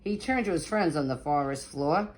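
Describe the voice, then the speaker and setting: A woman's voice: sits low, expressive, normal speed, rough recording. A woman narrating a children's picture book in a dramatic, sing-song read-aloud style.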